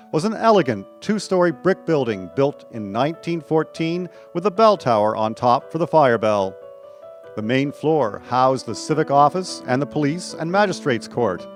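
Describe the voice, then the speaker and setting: Male radio drama narrator over music. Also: on the high side. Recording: music under the voice. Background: instrumental music.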